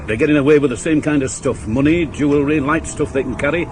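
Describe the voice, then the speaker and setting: An actor playing a shrewd, dogged middle-aged police inspector in a 1980s radio drama, terse, emphatic and authoritative. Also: male voice, fairly high pitch, fast talker, a little mellow, lightly acted. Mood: determined. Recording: old radio broadcast, faint traffic noise.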